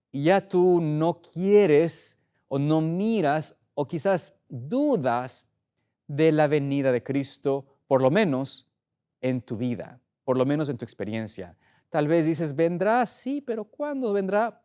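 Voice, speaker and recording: A middle-aged man preaching as a pastor in an earnest, deliberate way, pausing for emphasis. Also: a little high, darkish tone, smooth. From Ecuador. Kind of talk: sermon, opening with prayer.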